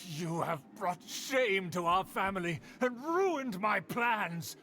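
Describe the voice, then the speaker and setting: A man's voice: high voice, lively intonation, slow pace, bright, forceful tone, faint music behind, exaggerated voice. A man voicing a pompous, haughty older noble uncle in a video game, lecturing in an indignant, lofty tone.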